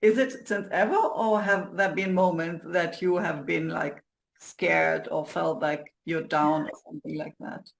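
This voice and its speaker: A woman hosts an interview in an earnest, supportive manner. Her delivery is even and narrow in pitch, with a gravelly fry. She builds her thoughts in halting phrases. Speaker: interview host, coach. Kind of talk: interview talk, encouraging listeners.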